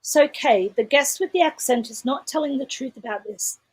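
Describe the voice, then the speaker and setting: A woman with a cold, hoarse and coughing, chatting casually and apologetic. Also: sits high, normal speed, no room sound, poor audio. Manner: apologetic, coughs and clears throat. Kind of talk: casual livestream chat.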